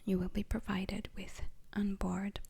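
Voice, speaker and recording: Woman, breathy whisper, slow pace, flat intonation, ASMR roleplay lecture, calm formal instructor, deliberate pauses. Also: medium pitch. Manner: slow, deliberate, pausing between short phrases. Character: calm, formal instructor briefing space crew trainees.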